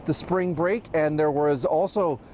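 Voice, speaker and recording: A man giving an unscripted live news report as a correspondent, halting and often restarting his phrases. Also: a little high, dark tone, a little hiss or hum. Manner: halting, hesitant, frequent ums and restarts.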